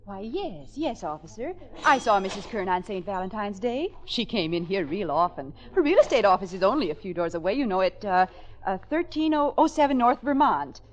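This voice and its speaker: A woman's voice: medium pitch, sing-song, fairly quick, close to an everyday voice. An actress playing a chatty, helpful female shopkeeper who recalls things in a rambling, hesitant way for a radio drama. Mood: chatty, recalling. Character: chatty, helpful drugstore manager, female witness. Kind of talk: 1950s radio acting. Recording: dull old radio recording.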